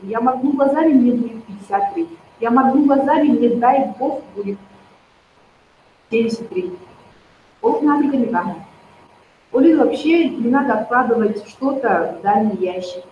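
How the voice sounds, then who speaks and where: A woman's voice: a little high, animated, normal speed, slight background noise. Woman, 30s, persuasive promoter; dull, roomy home recording. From Yakutia. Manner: persuasive, addresses viewers directly. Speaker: network marketing promoter. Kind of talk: persuasive talk to viewers.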